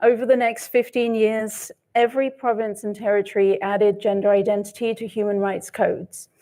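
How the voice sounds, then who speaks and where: A soft-spoken woman giving a prepared talk as a panelist. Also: medium pitch, little pitch movement, normal speed. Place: lecture hall.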